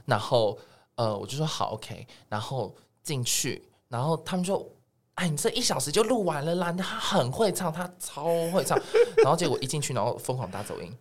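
A man with a Taiwanese accent chatting casually as a guest music producer, telling things in an animated way and quoting people in dialogue. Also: a little high, moderate pace. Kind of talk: casual podcast interview.

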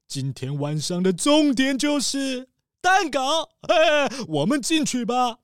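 Brief: theatrical rich tycoon father, acted by a performer, slow and exclaiming, soft music bed. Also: high voice, clean tone, dry recording, heavily acted. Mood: jovial. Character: rich excitable middle-aged male tycoon father. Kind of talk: children's audio drama.

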